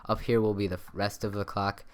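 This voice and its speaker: Young man, nasal, low voice, rambling game tutorial, cheap desk mic, keyboard typing behind. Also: level tone, brisk, clear, resonant tone. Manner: rambling, many ums and self-corrections. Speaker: gaming tutorial maker. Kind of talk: casual game tutorial voice-over. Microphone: cheap USB desk mic by the keyboard.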